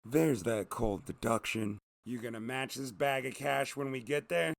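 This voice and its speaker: A grumpy duck detective, as acted by a male storyteller with a very gravelly voice. He is cynical and sardonic, a hard-drinking private eye from a comic audio story. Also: mid-range voice, moderate pace, dry recording, natural voice. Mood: wary, grudging. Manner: gruff, sardonic, dismissive.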